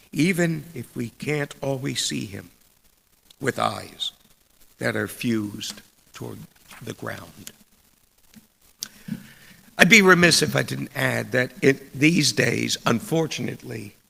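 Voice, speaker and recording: Male preacher, heavily creaky yet forceful and bright, delivering a written sermon in measured short phrases. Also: medium pitch. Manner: deliberate, measured, broken into short phrases with pauses. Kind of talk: scripted sermon read aloud. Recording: long church reverb.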